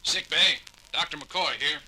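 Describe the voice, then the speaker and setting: A man's voice: fairly high pitch, unhurried, heavy background noise. An actor playing a brusque, busy male starship doctor over an intercom in a 1970s audio drama. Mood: puzzled, brusque.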